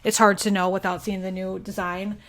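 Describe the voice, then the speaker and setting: Animated woman in casual podcast conversation. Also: medium pitch, a little fast. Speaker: local news reporter, podcast guest.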